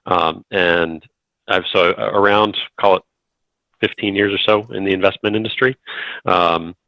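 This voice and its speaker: A man's voice: low-pitched, steady tone, unhurried, bright, forceful tone, no room sound, dull audio. A man in his thirties from New Hampshire, an investment firm executive introducing himself in an easygoing way with many fillers. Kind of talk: casual panel introduction.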